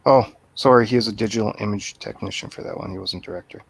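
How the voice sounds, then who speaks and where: Gravelly man, dry close sound, brief interjections. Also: fairly low pitch, quick pace, dry recording. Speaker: show panelist. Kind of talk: casual movie review chat.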